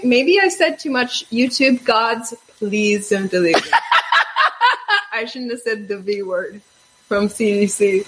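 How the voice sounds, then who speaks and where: Woman host, bright forceful voice, fairly high, lively, laughs a lot. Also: breathy edge, poor audio. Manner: lively, animated, quick to laugh. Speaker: podcast host. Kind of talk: casual chat.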